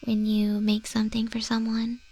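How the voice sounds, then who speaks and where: A woman voicing a gentle, sincere moth monster girl tattoo artist in a soft, breathy, tender ASMR roleplay. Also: a little high, completely flat delivery, moderate pace, no room sound.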